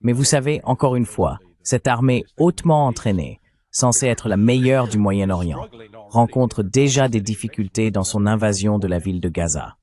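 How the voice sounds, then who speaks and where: A man's voice: fairly low pitch, normal speed, mellow. A man's synthetic dubbing voice reading translated political commentary as a discussion panelist.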